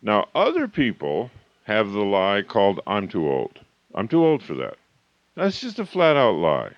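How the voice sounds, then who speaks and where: Slow, lively-voiced motivational man. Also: on the low side, no room sound. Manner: emphatic, slow and deliberate, rhetorical questions. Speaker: motivational author and speaker. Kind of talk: motivational talk. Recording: dull, lo-fi dry recording.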